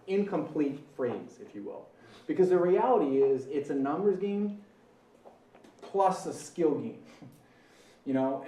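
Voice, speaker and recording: A man's voice: fairly high pitch, reverberant, far from the mic, low-quality recording. A man leading a live sales training, talking casually and quizzing the audience. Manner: energetic, conversational, asks the audience questions. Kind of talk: live training talk to a room. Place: meeting room with an audience.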